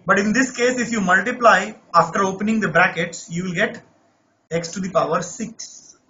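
High, bright man teaching math. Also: moderate pace, lo-fi sound, slight background noise. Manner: coaxing, repeats checks like "right?". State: voice not normal, says he lost his voice. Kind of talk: live online class, problem solving.

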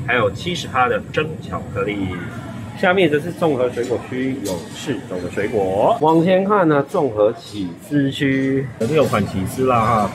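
Chatty Taiwanese man touring a buffet. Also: mid-range voice. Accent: Taiwanese Mandarin. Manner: chatty, lists dishes with frequent 哈 and 啊 tags. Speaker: food vlogger. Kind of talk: casual restaurant walkthrough. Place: busy hotel buffet restaurant indoors. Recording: noisy restaurant background.

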